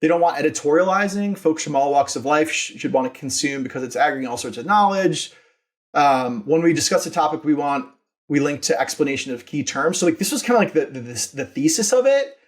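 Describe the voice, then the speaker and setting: A man with a slightly high-pitched voice talks as a podcast guest. He is informal and enthusiastic, explaining things in a casual, emphatic interview style. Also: lively intonation, fairly quick. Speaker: podcast guest, company founder and CEO.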